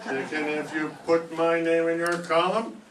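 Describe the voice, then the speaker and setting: A man's voice: a little high, little pitch movement, unhurried, lightly acted. Brief: older man as a coaxing, cocky boyfriend; distant, roomy amateur recording. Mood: boastful. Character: smooth-talking lazy boyfriend. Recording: roomy classroom, far from mic, faint hiss.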